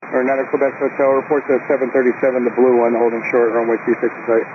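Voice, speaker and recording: A man's voice: medium pitch, monotone, fast. A man working as an air traffic controller, giving terse, firm procedural instructions over radio. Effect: radio transmission. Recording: tinny, noisy aviation radio.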